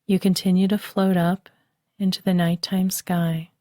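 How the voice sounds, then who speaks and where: A woman leads a bedtime relaxation meditation in a soft, soothing voice. She speaks very slowly in a flat, even tone with a mellow, slightly low voice. The recording is dry and close, like a studio voice-over. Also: dry recording. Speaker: meditation guide.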